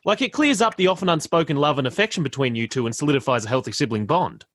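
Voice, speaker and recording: Man acting a rambling, laid-back young stoner, animated pitch. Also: fast, no room sound, studio quality. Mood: laid-back musing. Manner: rambling, hesitant, slangy. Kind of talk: radio play acting.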